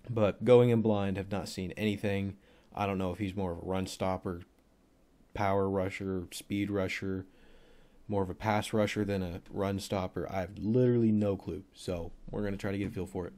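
A young man with a cold and a voice deeper than usual, casually rambling through a football film breakdown with plenty of fillers. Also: fairly even intonation, moderate pace, dark tone. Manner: rambling, offhand, thinks aloud with many fillers.

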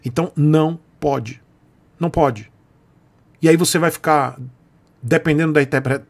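A Brazilian man in his forties gives casual advice to viewers in a somewhat high-pitched voice. He is blunt and emphatic, hammering short colloquial phrases like a host answering questions. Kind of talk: casual advice chat to camera. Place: small home room, indoors.

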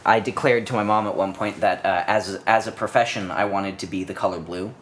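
A man's voice: lowish voice, brisk, slight background noise. A young man in his twenties, a podcast guest, rambling through childhood stories in a casual chat on a living room couch, speaking into a studio mic on a stand. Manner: rambling, many restarts and fillers, animated storytelling. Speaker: podcast guest, cinematographer and actor.

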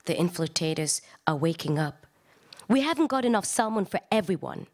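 Expressive woman reading literature aloud. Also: fairly low pitch, a little fast. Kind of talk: dramatic live literary reading of a translation.

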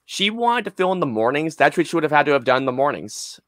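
Guy in his 20s rambling through stories, swears a bit, really lively pitch, dry close mic. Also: fast, dry recording. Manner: animated, rambling, emphatic, sweary. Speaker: podcast co-host. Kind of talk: casual chat, storytelling. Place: small room at home. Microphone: close desk mic on a boom arm.

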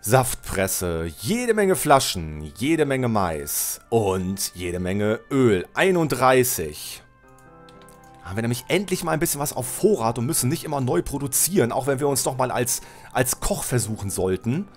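A middle-aged man with a cold, his voice lower than usual, commenting casually on a building game while he plays, thinking aloud and correcting himself. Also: very animated, a little slow, faint music behind. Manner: chatty, thinks aloud, self-correcting, addresses viewer. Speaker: Let's Play host. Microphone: headset mic at desk, webcam.

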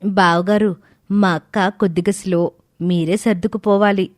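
A woman voicing a sharp-witted young sister in a cartoon family drama, assured and teasing. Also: medium pitch, fairly even intonation, unhurried, no room sound, studio quality, close to an everyday voice. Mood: bold.

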